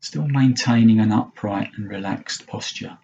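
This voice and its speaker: Male meditation teacher, very breathy and rough, very slow pace, gentle guiding delivery, long pauses, muffled lo-fi sound. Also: fairly low pitch. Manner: gentle, unhurried, with long pauses between short phrases. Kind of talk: guided mindfulness meditation.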